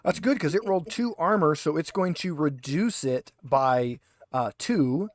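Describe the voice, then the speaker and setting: A man's voice: a little high, lively intonation, measured pace, dry recording, studio quality. A man acting as game master, chatting casually and explaining the rules step by step. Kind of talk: casual tabletop game chat.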